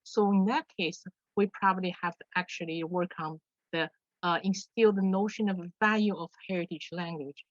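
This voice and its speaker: A woman's voice: lowish voice, fairly even intonation, moderate pace, no echo, lo-fi sound. A woman speaking earnestly on a video call panel, repeating herself and using non-native grammar.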